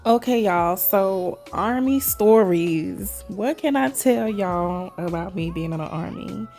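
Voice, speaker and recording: Gravelly-voiced chatty woman from Trinidad. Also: mid-range voice, slow, darkish tone, soft background music. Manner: chatty, addresses viewers as "y'all" often. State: recovering voice, slight throat tickle. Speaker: nail vlogger. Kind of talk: casual chatty voice-over.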